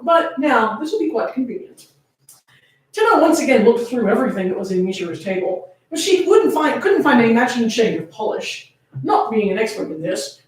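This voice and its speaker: A woman giving a dramatic, comedic reading of fan fiction, theatrical and prone to amusement. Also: on the high side. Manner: theatrical, often breaking into laughter. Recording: very echoey room, far from mic.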